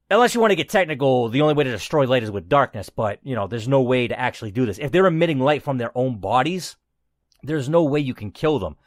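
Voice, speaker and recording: Male playthrough host, mid-pitched and animated, dramatic reader with casual asides, audible background noise. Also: no room sound, clean studio sound. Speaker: gamer hosting a playthrough. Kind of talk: reading story game text aloud with casual commentary.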